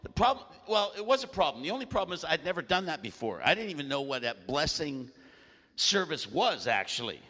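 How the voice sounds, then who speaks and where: A man preaching a sermon with a loud voice and animated storytelling, often clearing his throat. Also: fairly high pitch, brisk, long reverb tail.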